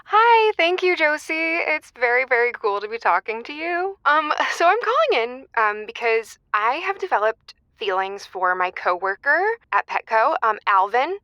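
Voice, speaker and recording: Shy lovestruck female caller: high-pitched, hesitant, eager, bright tone for radio drama. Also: very animated, moderate pace, few pauses, dry recording. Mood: nervous, eager. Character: shy, smitten woman calling a radio advice show. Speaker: phone-in caller. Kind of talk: naturalistic audio drama dialogue.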